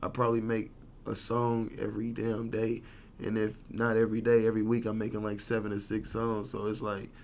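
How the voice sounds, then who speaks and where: Man, thirties, gravelly voice, flat delivery, relaxed slangy talk, light background noise. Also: on the low side, brisk. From Kansas City, USA. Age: 30s. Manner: laid-back, slangy, rambling. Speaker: rapper, interview guest. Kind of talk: casual interview chat.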